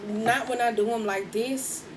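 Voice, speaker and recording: A woman with a husky voice doing a casual, chatty tarot reading, speaking in with laughs and slangy asides. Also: a little high, steady tone, unhurried, background noise. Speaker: tarot reader.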